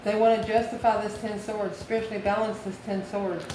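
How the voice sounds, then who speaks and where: A woman's voice: medium pitch, little pitch movement, brisk, a little mellow. A woman from the United States giving a casual, off-the-cuff tarot reading, musing aloud and answering her own questions. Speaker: tarot card reader. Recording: echoey room, clear background noise.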